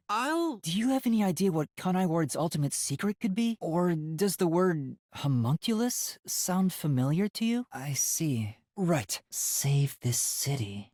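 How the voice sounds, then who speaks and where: Timid young male detective, lively husky voice. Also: sits low, unhurried, hardly any pauses. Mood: earnest, pondering. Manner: hesitant, self-doubting, earnest, breathy gasps and stammers. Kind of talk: video game voice acting.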